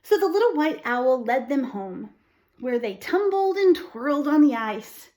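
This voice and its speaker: Expressive high-voiced woman reading to children. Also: a little slow. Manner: expressive, asks the listener questions, explains simply. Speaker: storytime reader for young children. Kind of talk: read-aloud picture book storytelling with explanatory asides.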